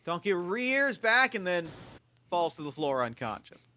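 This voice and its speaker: Man, narrator, bright voice, slightly high, stage directions, animated. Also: measured pace. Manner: plain and animated with sound effects. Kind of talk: table read narration.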